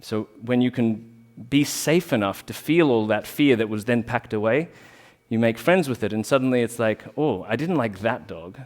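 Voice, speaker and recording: A man's voice: mid-range voice, lively intonation, normal speed, few pauses. Earnest man giving a talk. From Australia. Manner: earnest, reflective, with restarts and fillers. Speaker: talk speaker, rolfer. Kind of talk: informal community talk.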